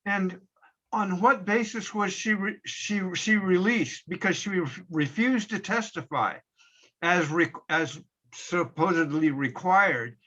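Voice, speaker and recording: A man asking spontaneous questions over a dull video call, halting and restarting his words. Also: high voice, fairly bright tone. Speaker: audience member asking questions.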